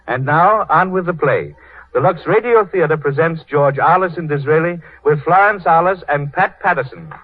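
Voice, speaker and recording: A man's voice: on the high side, animated, moderate pace, slight background noise. A man presenting and narrating a 1930s radio drama in a stately, formal, scripted style. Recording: tinny, husky 1930s radio recording.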